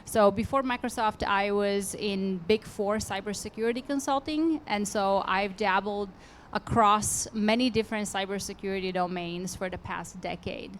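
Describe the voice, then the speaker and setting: A woman's voice: medium pitch, slight background noise. Earnest woman on a conference panel. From Bulgaria. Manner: earnest, pausing, restarts phrases. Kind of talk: panel self-introduction. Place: conference hall stage. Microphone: handheld microphone.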